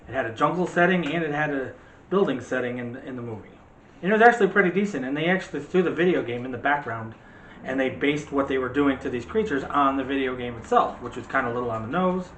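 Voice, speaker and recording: A middle-aged man chats casually as co-host of a homemade movie show. He rambles and teases at an ordinary pitch. The room echoes noticeably, with faint noise behind him. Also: expressive, fast talker, fairly bright tone. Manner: teasing, rambling. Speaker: co-host of a movie review channel. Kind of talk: casual chat. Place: room at home. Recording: roomy echo, faint background noise.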